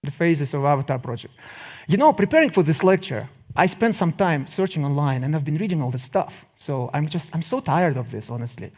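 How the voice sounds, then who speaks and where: Higher-voiced Russian-accented man giving a lecture. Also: lively intonation, a little mellow. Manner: deliberate phrasing with dramatic pauses and wry asides. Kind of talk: public lecture.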